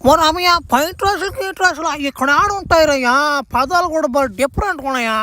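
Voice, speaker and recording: A woman voicing an excitable cartoon henchman who nags in slang, in a crude comic dub. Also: sits high, fast. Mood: complaining. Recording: music behind the voice.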